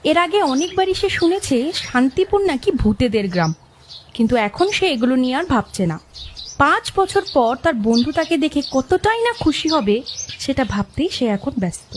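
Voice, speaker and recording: High, lively woman narrating a ghost story. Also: slight background noise.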